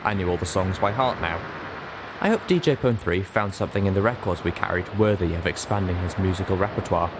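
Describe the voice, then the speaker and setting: Low-voiced man narrating a story. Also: a little fast, noisy background. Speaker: audiobook narrator. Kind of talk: scripted first-person story narration.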